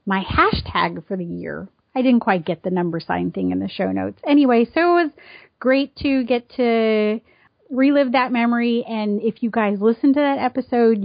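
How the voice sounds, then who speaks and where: A woman's voice: mid-range voice, dry recording, muffled recording. Dry-sounding woman chatting casually. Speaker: podcaster and guest. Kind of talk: casual spoken message and chat.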